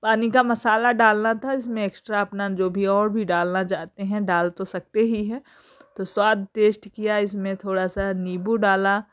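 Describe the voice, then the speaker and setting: A woman's voice: medium pitch, steady tone, moderate pace. A woman in her thirties from India, chatting casually through a family vlog, her throat sore. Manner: chatty, homely, laughs often. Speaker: family vlogger. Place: home and market.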